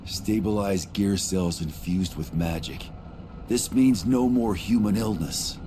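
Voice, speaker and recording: Style: anime game. Figure: low, gruff, sardonic American man. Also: slow pace, mellow, slight background noise. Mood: wry. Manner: blunt, slangy, needling. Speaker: voice actor in a video game. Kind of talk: dubbed anime game dialogue.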